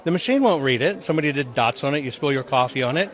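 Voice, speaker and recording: A man's voice: medium pitch, animated, fast, fairly bright tone. A man giving conversational interview answers, explaining things in an earnest, emphatic way. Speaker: interview guest, audit presenter.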